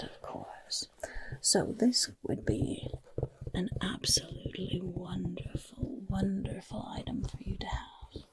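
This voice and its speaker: Woman playing a whispering, creaky goblin rogue. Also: on the low side. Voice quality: soft breathy whisper with creaky edges. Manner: coaxing, unhurried, repeats words for emphasis. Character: friendly, sly female goblin rogue quartermaster. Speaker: roleplay ASMR performer. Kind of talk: whispered ASMR roleplay.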